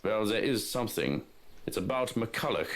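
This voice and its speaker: Low-voiced, composed aide played by a man. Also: expressive, normal speed, background noise, natural voice. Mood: businesslike. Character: composed, dutiful male aide to a rich employer. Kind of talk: visual novel voice acting.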